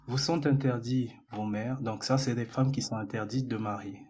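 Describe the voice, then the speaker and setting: A man's voice: mid-range voice, fairly even intonation, normal speed, darkish tone, slight background noise. A man in a casual debate, reading text out and explaining it point by point. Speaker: debate participant.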